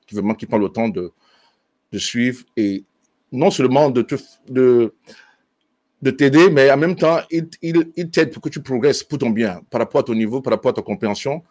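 A man, a bass student, telling his teacher in an interview why he joined the course, with many fillers and restarts. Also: moderate pace, a little mellow, no echo. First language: French. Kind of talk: testimonial interview.

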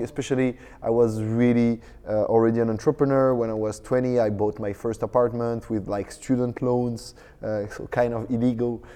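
A man's voice: medium pitch, dark tone, big-room sound. A man in his thirties from France with a French accent, a startup co-founder talking as a guest in an interview, hesitant and full of fillers. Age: 30s. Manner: hesitant, many fillers and restarts. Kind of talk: casual interview. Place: tech conference.

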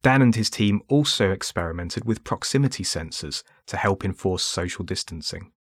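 A man reading scripted documentary narration in a measured way. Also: low voice, expressive, breathy edge, no echo, clean studio sound.

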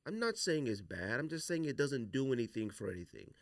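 A man hosting a podcast, talking casually with slangy, opinionated, animated delivery. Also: mid-range voice, a little fast, dry recording, studio quality. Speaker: podcast host. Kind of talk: casual sneaker podcast debate.

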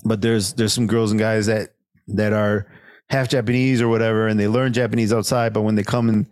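A man's voice: fairly low pitch, flat delivery, brisk, darkish tone, smooth, no echo, clean studio sound. A Mexican-man, co-hosting a casual show review, rambling and laughing often. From Mexican-American, United States. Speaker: podcast co-host.

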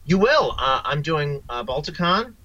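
Bright-voiced man, dry slightly muffled recording. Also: on the high side, very animated, measured pace. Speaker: interview guest, audio drama writer. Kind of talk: casual podcast interview.

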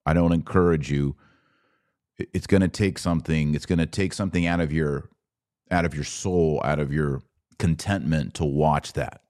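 A man hosting a podcast, giving solo commentary on the news in an earnest, emphatic way with short, deliberate phrases. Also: very deep voice, steady tone, a little mellow.

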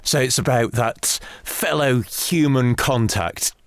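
A man's voice: medium pitch, slow. A man interviewing in an informal, chatty, conversational style. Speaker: radio interviewer.

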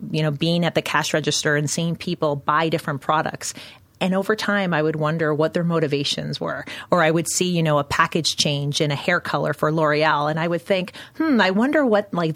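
A woman's voice: low voice, a bit bright, dry recording. A woman in her late thirties with a Canadian accent, chatting upbeat as an interview guest with plenty of "um"s and "you know"s. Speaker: guest, innovation executive. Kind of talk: casual podcast interview.

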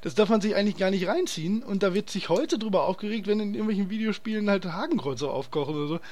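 A man's voice: high-pitched, quick pace, darkish tone. A man hosting a casual podcast chat, talking in an enthusiastic, slangy and emphatic way. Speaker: podcast host.

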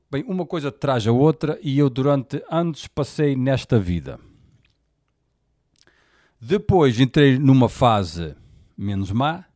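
A man's voice: mid-range voice, warm tone, no echo, clean studio sound. A Portuguese man in his forties with a European Portuguese accent, hosting a candid, colloquial monologue podcast with frequent fillers. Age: 40s. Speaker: podcast host.

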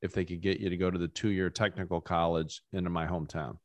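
Deep-voiced man hosting a dry-sounding podcast. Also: little pitch movement, no room sound. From rural Ohio. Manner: quick interjections, stammered restarts. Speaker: podcast host. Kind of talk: casual interview chat.

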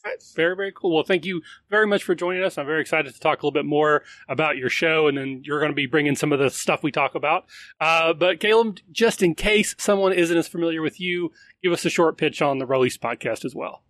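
A man's voice: a little high, fast, fairly bright tone, no room sound. Upbeat male podcast host, dry sound. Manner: upbeat, repeats words. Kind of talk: live podcast hosting.

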